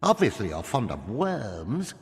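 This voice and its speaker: A man's voice: fairly low pitch, huge pitch swings, exaggerated voice. An actor playing a gloating, pompous evil sorcerer in a video game, sardonic and theatrical in his delivery. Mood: sinister, taunting. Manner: hammy, sardonic, drawn-out menacing delivery. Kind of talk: scripted video game villain acting. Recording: long reverb, music behind.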